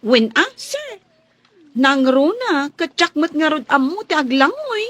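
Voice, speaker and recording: An actress playing a shy, deferential young housemaid in a radio drama, coy, hesitant and polite. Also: female voice, high-pitched, very animated, a little slow, a bit bright, no room sound, heavily acted. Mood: timid, playful. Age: young adult.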